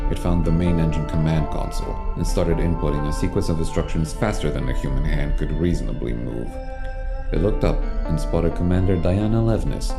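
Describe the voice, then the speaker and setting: A man narrates a science fiction audio drama in a low, deep voice. Music plays clearly behind his scripted storytelling.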